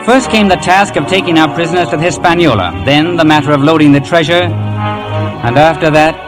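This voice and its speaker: Guy doing old radio adventure narrator, dramatic, slightly high voice, music playing under him. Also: animated, brisk, lightly acted. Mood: solemn. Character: dramatic first-person adventure storyteller, male. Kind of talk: scripted narration, 1930s-40s radio.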